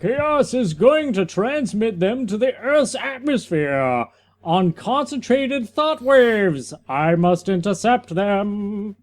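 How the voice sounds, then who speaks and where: A man voicing an earnest male superhero wizard, reading heroic lines slowly and deliberately for a comic reading. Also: sits high, expressive.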